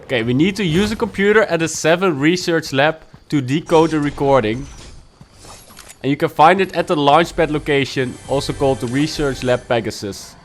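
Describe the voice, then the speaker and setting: A man narrates a game walkthrough in a matter-of-fact, explaining tone. His voice sits a little high. Faint noise sits behind him. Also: normal speed. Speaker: gaming guide host.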